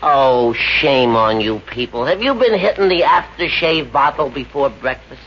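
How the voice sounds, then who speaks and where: An actor playing a cheeky, tight-fisted pest of a boarder in a 1950s radio comedy. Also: male voice, mid-range voice, big pitch swings, unhurried. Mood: cheeky, smug. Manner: cocky, mock-dramatic. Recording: muffled, noisy old radio recording.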